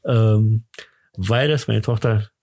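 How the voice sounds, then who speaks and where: A middle-aged man with a Berlin accent, chatting casually as a podcast host in a rambling, halting, jokey way. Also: lowish voice, steady tone, darkish tone, no room sound. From Berlin, Germany.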